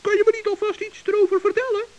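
A woman's voice: very high voice, flat delivery, fast talker, darkish tone, muffled recording. A woman voicing a cheerful, brave male forest gnome in an old children's radio play, chatty and earnest. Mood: chirpy.

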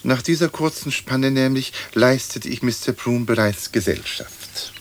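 An actor playing a haughty, verbose old English lord's ghost in a German radio play, with pompous, formal speech. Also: male voice, mid-range voice, a little slow, slight background noise, natural voice. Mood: haughty, narrating.

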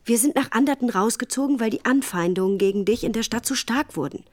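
An actress in a radio drama playing a writer's resolute, sceptical wife, speaking in a pointed, sober way. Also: female voice, a little high, quick pace, a little mellow, natural voice. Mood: sceptical, reproachful. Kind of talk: radio drama dialogue and diary narration.

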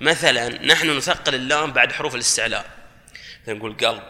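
A man, a guest on a panel, explaining his points with loud bursts of emphasis. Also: medium pitch, fast talker, a little hiss or hum. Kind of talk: panel discussion. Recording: reverberant hall sound.

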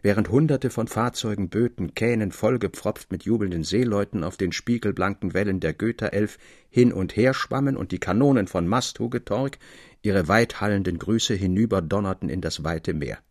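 Low-voiced man narrating a classic tale. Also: moderate pace, no echo, studio quality. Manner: expressive, articulate storytelling. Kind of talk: scripted literary audiobook narration.

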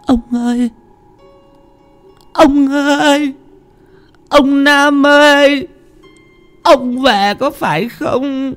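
A man voicing a grieving old widow who pleads in a high, wailing, tearful voice. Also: fairly even intonation, extremely slow, long pauses, fairly bright tone, soft background music, full character voice. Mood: desperate.

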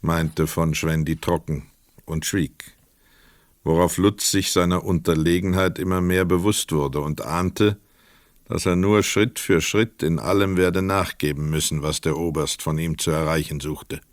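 A man narrating a literary radio play from a script in a measured, precise storytelling manner. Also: low voice, steady tone, no echo, studio quality.

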